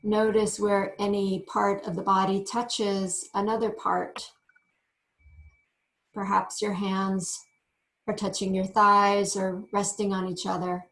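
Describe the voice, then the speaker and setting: A woman teaching yoga meditation, giving gentle instructions in short phrases with frequent pauses. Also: medium pitch, flat delivery, rough recording. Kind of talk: guided meditation.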